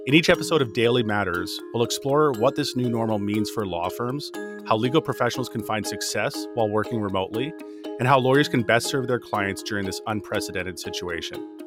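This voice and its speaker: Male podcast host with a low voice; hesitant, repetitive phrasing. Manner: halting, repeats words when asking questions. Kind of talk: interview podcast.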